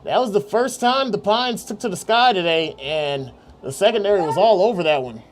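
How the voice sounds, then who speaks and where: Male play-by-play announcer with a high voice, calling youth football outdoors over faint background noise. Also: moderate pace. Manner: steady, rising on big plays.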